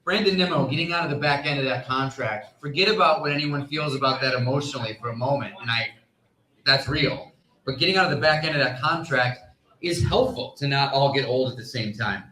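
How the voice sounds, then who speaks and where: A man speaking as a sports analyst on a live panel, explaining his points in a measured, qualifying way. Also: mid-range voice, bright, forceful tone. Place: live convention panel stage. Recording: echoey live room.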